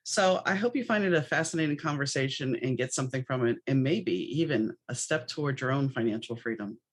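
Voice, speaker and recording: A woman's voice: very deep voice, lively intonation, a little fast. A woman podcast host talking in a conversational, chatty, anecdotal way.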